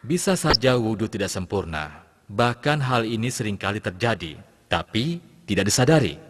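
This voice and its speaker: A man playing a patient Islamic studies teacher who addresses pupils in a measured, instructive way in a scripted cartoon lesson, recorded with long reverb. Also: fairly low pitch, very animated, husky. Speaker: religious teacher.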